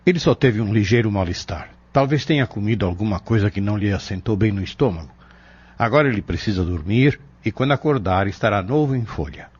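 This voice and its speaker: A Brazilian man playing a calm, reserved pharmacist in an audio drama, polite and measured with gentle authority. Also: lowish voice, husky. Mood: reassuring. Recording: dull lo-fi audio.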